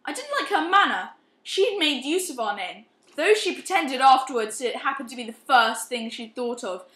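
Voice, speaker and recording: A haughty, middle-aged society matron acted by a woman in an amateur radio play. The voice is high and bright in an echoey room, imperious, self-righteous and quick to defend herself. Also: expressive. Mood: haughty, defiant. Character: haughty, unrepentant middle-aged upper-class charity matron. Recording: roomy, echoing amateur recording.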